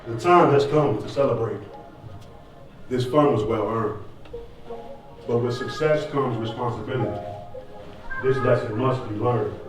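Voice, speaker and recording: A man playing a solemn narrator in a stage play, speaking in measured spoken word. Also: mid-range voice, natural voice. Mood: solemn, cautioning. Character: solemn narrator warning about success and temptation. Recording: echoey stage, distant mic, music underneath.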